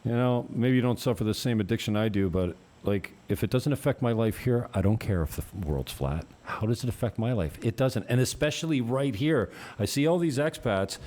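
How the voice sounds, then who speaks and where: Mellow, chatty Canadian-raised host. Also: male voice, medium pitch, expressive, brisk, few pauses. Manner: chatty, rambling questions. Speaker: podcast host. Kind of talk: casual interview chat.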